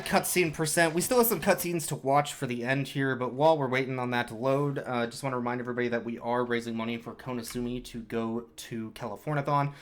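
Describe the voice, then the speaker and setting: A man's voice: medium pitch, fairly bright tone. Laid-back man commenting on a speedrun. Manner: laid-back, rambling, self-mocking. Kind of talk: casual live gameplay commentary.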